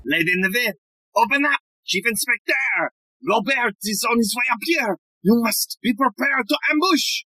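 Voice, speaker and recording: A woman playing a bumbling, excitable police inspector, a man, in a blustering, theatrical audio play style. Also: on the high side, normal speed, husky, no echo, full character voice. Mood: blustering, urgent.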